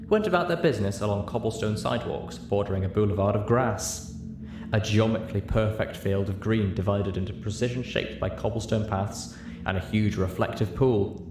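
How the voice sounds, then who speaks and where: A man reading a story aloud as narrator, in an expressive scripted style. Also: fairly low pitch. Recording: roomy, clearly noisy home recording.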